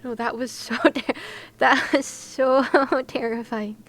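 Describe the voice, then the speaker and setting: A young adult woman chatting casually as a game streamer, with an expressive, giggly, reactive way of talking. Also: a little high, takes it slowly. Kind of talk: casual game livestream chat. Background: faint game music.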